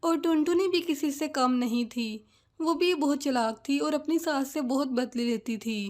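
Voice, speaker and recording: A woman storyteller with a high voice. She reads scripted narration for a children's cartoon fable, setting out the tale line by line. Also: normal speed.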